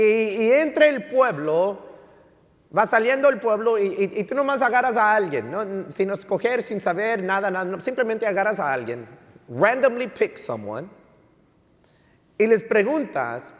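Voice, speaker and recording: A man preaching as a pastor in an emphatic, teaching way, repeating key phrases and switching between Spanish and English. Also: sits high. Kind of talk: sermon with rhetorical questions to the congregation. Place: large indoor church hall. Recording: reverberant hall sound.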